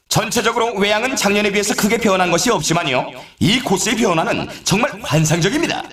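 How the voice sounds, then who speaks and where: An actor voicing an excitable male snowboard race announcer in a video game, with a polished, showy scripted delivery. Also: sits high, reverberant. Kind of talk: scripted game commentary, course introductions.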